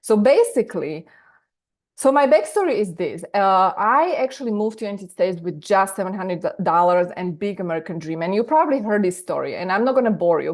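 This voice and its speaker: A woman from Slovakia with a Slovak accent, a business coach talking energetically in a live online masterclass over a video call, often repeating words for emphasis. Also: mid-range voice.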